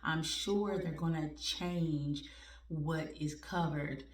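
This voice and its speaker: A woman in her forties talking candidly and warmly to her viewers in a casual, self-joking way. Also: low-pitched, steady tone, slow pace, roomy sound, distant mic. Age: 40s. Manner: candid, conversational, laughs at herself, uses y'all. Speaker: vlogger. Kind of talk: personal heartfelt talk to viewers.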